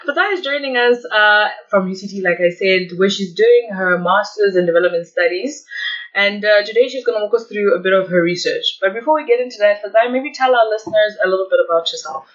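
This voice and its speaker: A man's voice: high voice, a little fast, fairly bright tone. A man hosting a podcast, chatting casually and encouragingly with a guest. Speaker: podcast host. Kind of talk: casual interview chat. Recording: dull lo-fi audio.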